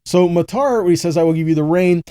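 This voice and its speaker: Male scripture teacher, slightly high but soft-edged voice, dry close sound, conversational and instructive. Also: fast talker, clear, resonant tone, no room sound. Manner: earnest, instructive, checks in with rhetorical questions. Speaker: Bible teacher giving a teaching. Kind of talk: conversational scripture teaching, reading verses aloud and explaining.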